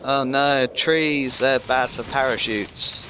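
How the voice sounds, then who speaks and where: Slow, casual male gameplay commentator. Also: medium pitch, steady tone, background noise. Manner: short offhand interjections. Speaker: let's play host. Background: game music.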